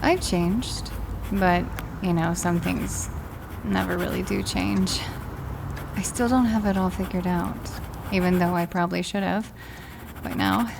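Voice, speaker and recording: A woman performs a wistful, fragile mourner in an audio drama, speaking very slowly and haltingly with a crackly, fry-edged voice. The delivery is intimate and sighing, over clear scratchy background noise. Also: fairly low pitch. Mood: wistful, tender. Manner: halting, sighing, slipping into whispers. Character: grieving adult writing to a lost love, wistful and fragile.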